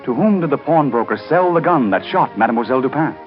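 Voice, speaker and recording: Loud music plays behind a 1930s radio announcer on a dull vintage recording. The man reads teaser narration dramatically, with wide swings in his voice. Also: medium pitch, animated, quick pace. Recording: muffled old radio recording.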